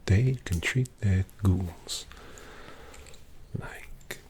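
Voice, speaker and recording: A man voicing a languid, possessive male vampire artist in an ASMR roleplay, talking softly and teasingly to the listener. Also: sits low, slight background noise. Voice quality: soft whispery, gravelly. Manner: slow, teasing, intimate address to listener.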